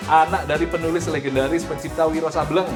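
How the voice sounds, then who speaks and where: An Indonesian man hosting a quiz game show in a lively presenter's style, over background music. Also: on the high side, fast talker.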